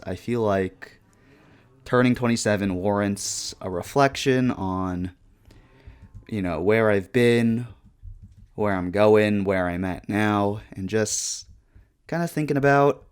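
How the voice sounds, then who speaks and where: Deadpan, low-voiced man in his twenties. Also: smooth. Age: 20s. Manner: deadpan monotone with many fillers. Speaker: YouTuber. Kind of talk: reflective casual talk over gameplay.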